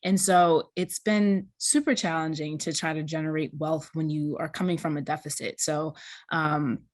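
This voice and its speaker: Low-voiced young woman, dry close sound. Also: fairly even intonation, normal speed, dry recording. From Boston. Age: young adult. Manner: easygoing, laughs lightly between points. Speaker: panelist, business founder. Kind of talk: casual talk in a video call panel. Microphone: video call.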